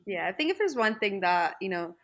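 A woman's voice: medium pitch, very animated, a little fast. A woman podcast host closing the episode, friendly and effusive in her thanks. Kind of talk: podcast wrap-up.

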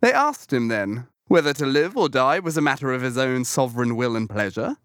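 A man's voice: medium pitch, expressive, a little fast, fairly bright tone, dry recording, clean studio sound. A man narrating a literary audiobook in a dramatic storytelling style.

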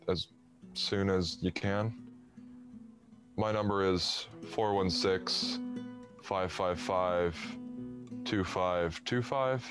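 A man acting an earnest, awkward, apologetic man in a comedy radio play, speaking haltingly. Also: low-pitched. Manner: halting, hesitant.

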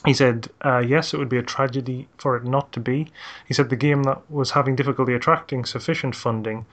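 A man's voice: medium pitch, steady tone, fast talker, fairly bright tone. A man hosts a casual podcast about games. He reads facts from his notes and drops jokey asides between them. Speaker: podcast host.

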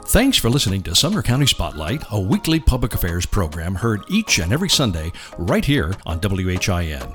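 Lively, chatty radio host man. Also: low voice, fairly quick, faint music behind. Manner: chatty, upbeat, affirming the guest. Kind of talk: radio talk show interview.

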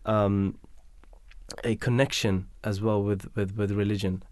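A man's voice: fairly low pitch, flat delivery, unhurried, a little mellow, no echo. A man whose mother tongue is Bangla explains his points at length in a casual radio discussion, with many fillers and restarts.

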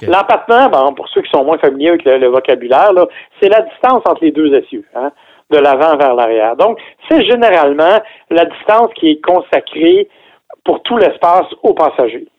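A man's voice: fairly high pitch, expressive, normal speed. A man with a Québécois accent, reviewing a car as a radio guest, explaining in a chatty way with joking asides, heard over a phone line. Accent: Québécois French. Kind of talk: casual radio chat.

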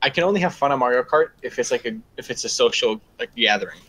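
Brief: nineteen-year-old guy, quick talker, skeptical podcast co-host. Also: mid-range voice, expressive, fairly bright tone, breathy edge. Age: young adult. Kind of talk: casual chat.

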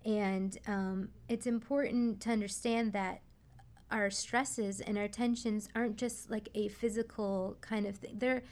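A woman's voice: mid-range voice, steady tone, measured pace, a little mellow. Woman explaining in a radio interview. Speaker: guest expert, massage therapist.

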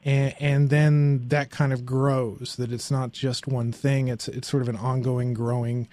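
A man hosting a podcast in casual conversation, halting and often correcting himself as he rambles along. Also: medium pitch, flat delivery, mellow, no echo. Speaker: podcast host.

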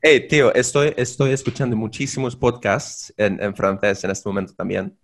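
A man's voice: lowish voice, normal speed. A man co-hosting a casual language-learning podcast, playful, mixing slang and restarting phrases. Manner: playful, uses Spain and Mexico slang, frequent restarts. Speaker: podcast co-host. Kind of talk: casual chat.

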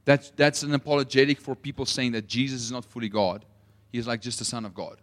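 South african guy preaching, goes loud then drops to quiet asides, storytelling. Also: mid-range voice, fast talker. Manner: animated storytelling, swings between loud emphasis and quiet asides. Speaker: pastor. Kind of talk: sermon.

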